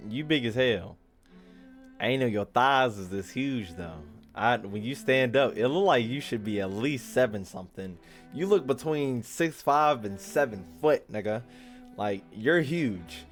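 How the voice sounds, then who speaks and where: American guy streaming a game, super animated, sweary and slangy, bit of music in the background. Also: medium pitch, a little slow. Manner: profane, slangy, teasing, animated. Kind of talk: casual live-stream commentary. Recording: faint game music behind.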